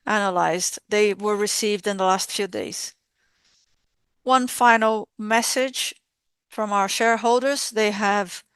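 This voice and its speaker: A woman interpreting live on a formal corporate earnings call, speaking in measured, halting short phrases. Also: mid-range voice, a bit bright, clean tone, no room sound.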